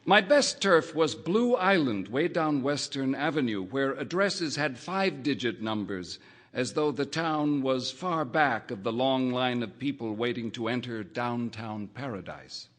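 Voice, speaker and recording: A man reading a first-person literary short story aloud, in a measured, wry narrating manner. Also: medium pitch, expressive, fairly bright tone. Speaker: audiobook reader.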